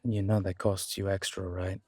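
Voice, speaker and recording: Man acting a low, husky werewolf alpha. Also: little pitch movement, normal speed, darkish tone, no room sound, studio quality. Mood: wry, teasing. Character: gruff, loyal young male werewolf alpha, wry and protective. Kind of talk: audio roleplay acting.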